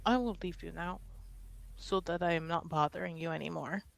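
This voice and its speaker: A woman playing a grieving grandchild who pleads earnestly and haltingly in a tabletop roleplaying game. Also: on the low side, gravelly. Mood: subdued, formal. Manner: slow, halting, earnest delivery. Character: Ade, sincere, grieving grandchild pleading with an estranged relative.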